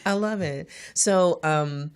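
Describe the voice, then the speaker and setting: A woman in her forties hosting an interview, eager and quick to laugh loudly, with lots of fillers. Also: low voice, clean tone. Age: 40s. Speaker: interview host. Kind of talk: casual interview chat.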